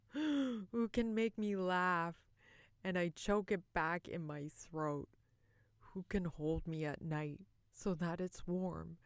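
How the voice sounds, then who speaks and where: A woman performing a theatrical monologue as a bitter, boozy middle-aged wife, mocking and self-loathing, with dramatic pauses and swings between loud and hushed. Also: on the low side, dry recording. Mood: wistful, pained.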